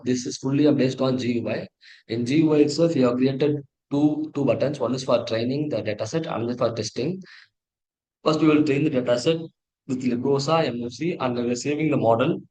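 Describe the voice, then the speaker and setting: A man with an Indian accent presenting a technical project walkthrough in a halting, repetitive way, often correcting himself. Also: medium pitch, a little mellow, poor audio. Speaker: presenter of an engineering project demo. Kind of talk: tutorial walkthrough, partly read from slides.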